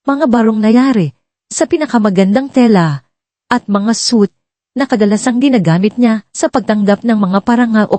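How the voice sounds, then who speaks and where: Mellow woman narrating a story. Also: fairly low pitch, no room sound. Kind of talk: scripted dramatic story narration.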